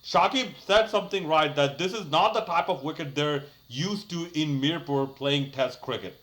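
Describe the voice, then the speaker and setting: A young man hosts his own cricket review channel and talks off the cuff. His voice sits a little high and rings bright. He rambles, restarts sentences and fills gaps with "uh" and "like". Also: normal speed. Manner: chatty, rambling, many fillers and restarts. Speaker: cricket YouTuber. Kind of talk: casual solo match review.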